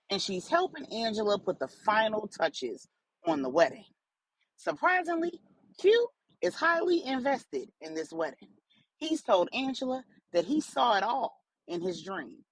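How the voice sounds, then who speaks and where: A woman narrating a story dramatically, in deliberate short phrases with pauses between them. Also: mid-range voice, poor audio. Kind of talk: dramatic bedtime-story narration for grown-ups.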